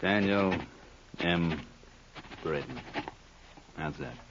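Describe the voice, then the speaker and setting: An actor playing a surly, sarcastic American drinker in an old radio crime drama, gruff and curt. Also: male voice, low-pitched, drawn-out words, background noise, heavily acted. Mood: wary, sceptical. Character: surly, bullying small-time American drinker, adult man. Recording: muffled old radio recording.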